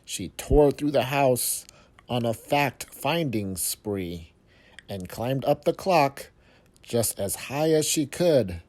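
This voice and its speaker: Guy reading a kids rhyming book out loud, slow n mellow, expressive with pauses. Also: medium pitch. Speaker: storyteller reading a picture book aloud to young children. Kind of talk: expressive children's read-aloud of rhyming verse.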